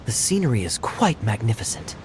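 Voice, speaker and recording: A man voicing a suave, playful knight in a video game, with a smooth, formal way of speaking. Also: medium pitch, very animated, a little fast, noisy background, close to an everyday voice. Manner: smooth, teasing, formal phrasing. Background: game music.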